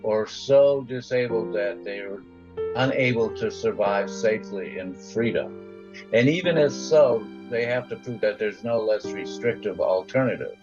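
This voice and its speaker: A man with a lowish voice delivers explanatory commentary. The recording is somewhat muffled and lo-fi. Guitar music plays clearly behind him. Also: unhurried. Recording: dull lo-fi sound, guitar music underneath.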